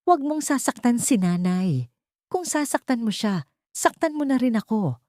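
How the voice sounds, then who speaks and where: A woman's voice: a little high, sing-song, moderate pace, darkish tone, no room sound, clean studio sound, voice pushed up. A woman voicing a small, brave boy who pleads defiantly in an audiobook story. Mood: defiant, emotional. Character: small defiant boy protecting his mother.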